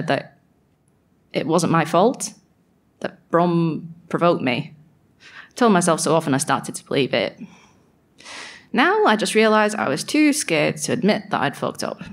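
Woman acting a brooding, sardonic exiled fairy. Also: fairly low pitch. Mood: remorseful, then bitter, sardonic. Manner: brooding, self-mocking, sweary. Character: exiled fairy woman, sardonic, guilt-ridden, grudging diarist. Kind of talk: audio drama diary monologue performed live.

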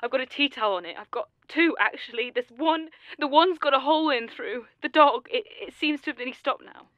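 Actress as high-voiced, dazed British widow. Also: female voice, no room sound, lo-fi sound, natural voice. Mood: distraught, panicked. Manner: halting, rambling, distracted. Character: dazed newly widowed British housewife, lonely, rambling, distraught. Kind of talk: naturalistic audio drama acting.

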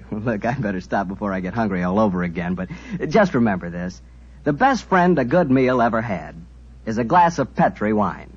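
Announcer, male, husky, lively folksy ad read, dull old radio sound. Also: moderate pace. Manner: friendly, folksy salesman pitch.

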